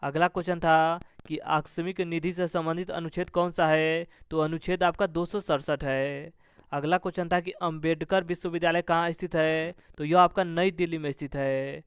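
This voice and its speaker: Crisp, higher-pitched male exam teacher. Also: fairly even intonation, normal speed, no room sound, clean studio sound. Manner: repetitive, formulaic delivery of questions and answers. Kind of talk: instructional question-and-answer exam review.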